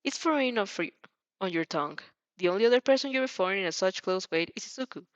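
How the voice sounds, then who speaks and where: A woman's voice: medium pitch, lively intonation, fast, no room sound, muffled recording. A woman reading fan fiction expressively, tripping over words and reacting casually as she goes. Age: adult. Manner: stumbles over words and restarts, giggly. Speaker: fan fiction reader and dubber.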